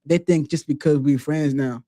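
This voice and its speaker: Mellow Tanzanian man interviewing casually. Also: a little high, fairly even intonation, moderate pace, no room sound, clean studio sound.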